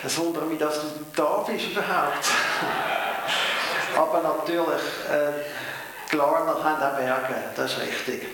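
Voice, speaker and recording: A man with a Swiss German accent preaching a sermon in a church, speaking measured and stressing key phrases. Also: on the high side, fairly even intonation, background noise. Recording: very echoey church hall.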